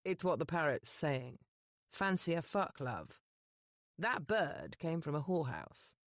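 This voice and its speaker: Brief: woman doing a brooding, blunt gentleman, low and clipped, storybook reading, dry close mic. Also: very animated, measured pace, no room sound, exaggerated voice.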